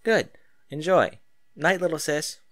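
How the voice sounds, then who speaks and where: A man voicing a brisk, protective teenage sister who is a determined sniper, in an audiobook story. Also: on the high side, a bit bright, no echo, studio quality, pitched above the performer's own voice. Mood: affectionate. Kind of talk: acted audiobook dialogue.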